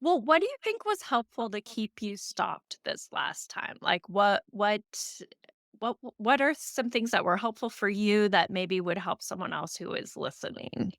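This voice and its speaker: A woman podcast host talks in a lively, swooping way, with a gravelly edge on her lower notes. Her speech is casual and warm, full of filler words, and she sounds close to the mic in a dry room. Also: a little slow, dry recording. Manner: warm, empathetic, chatty with many "like"s. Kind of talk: casual interview chat.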